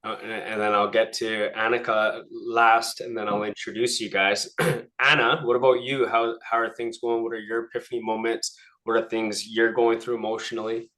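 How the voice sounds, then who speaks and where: A man's voice: fairly low pitch, flat delivery, normal speed, hardly any pauses, bright, forceful tone, rough recording. Man coaching a group, casual. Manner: conversational, often adds a questioning "right?". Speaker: life coach leading a group session.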